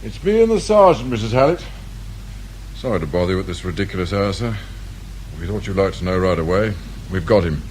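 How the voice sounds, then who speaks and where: An actor playing a dry, businesslike, world-weary English police inspector in a British radio drama. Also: male voice, low voice, expressive, brisk, pauses often, darkish tone, poor audio. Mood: wry, businesslike. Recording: faint noise behind radio drama recording.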